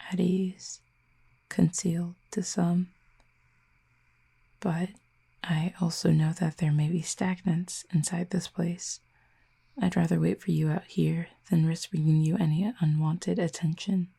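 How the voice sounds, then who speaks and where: A woman voicing a calm, reserved, quietly fond cupid in an intimate audio roleplay, speaking softly in measured, formal, gently teasing phrases. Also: on the low side, exaggerated voice. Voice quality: soft, breathy, hushed.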